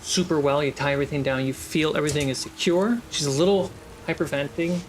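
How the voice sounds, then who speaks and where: A man's voice: on the high side, fairly even intonation, quick pace, poor audio. A man working as game master, casually narrating and improvising characters for a tabletop role-playing game.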